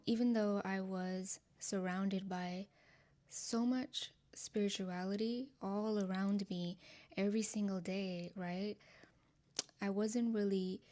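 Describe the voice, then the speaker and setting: A woman from Nepal in her twenties, a native Nepali speaker, softly and earnestly sharing her personal testimony with her channel's viewers, with frequent ums and light laughs. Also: mid-range voice.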